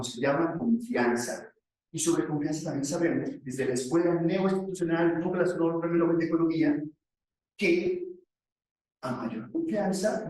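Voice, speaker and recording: High-voiced man presenting in an echoey room. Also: a little mellow, a little hiss or hum. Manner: explanatory, asks rhetorical questions and answers them. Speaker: academic economist presenting a paper. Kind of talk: conference presentation. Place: echoey conference session room. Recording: very echoey room, distant microphone.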